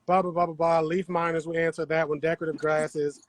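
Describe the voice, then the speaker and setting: A man's voice: on the high side, flat delivery, brisk, dry recording. A man hosting a casual gardening livestream, chatting with viewers as he works the soil on an outdoor patio. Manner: chatty, talks to viewers while working the soil. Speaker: host.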